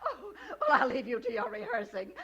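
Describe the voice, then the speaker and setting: Scornful, high-voiced nagging wife. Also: female voice, very animated, brisk, dull audio, a crowd in the background. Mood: mocking, gleeful. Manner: sarcastic, theatrical scolding. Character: scornful, nagging middle-class wife who mocks her husband. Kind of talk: 1940s radio acting. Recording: noisy old radio recording, clicks.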